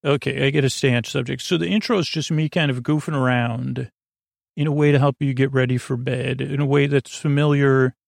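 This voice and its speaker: A man hosting a bedtime podcast in a creaky voice, meandering gently with hesitations, self-interruptions and a silly, lulling manner. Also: medium pitch, steady tone, brisk, dry recording, clean studio sound. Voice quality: creaky, gravelly. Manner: soothing, lulling. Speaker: podcast host. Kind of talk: rambling bedtime podcast monologue.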